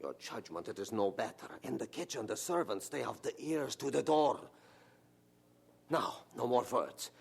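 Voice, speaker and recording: A man's voice: medium pitch, expressive, normal speed, creaky, husky. An actor playing a stern, stubborn old German father in a radio drama, self-pitying and emphatic, with a German accent and inverted phrasing. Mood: curt, guarded. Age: older. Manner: emphatic, guilt-tripping, inverted foreign-sounding phrasing.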